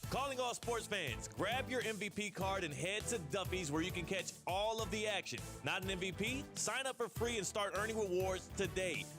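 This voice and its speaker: Male American ad announcer, high-pitched, scripted sell over a clear music bed. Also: expressive, normal speed, few pauses. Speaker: commercial announcer. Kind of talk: scripted radio ad read.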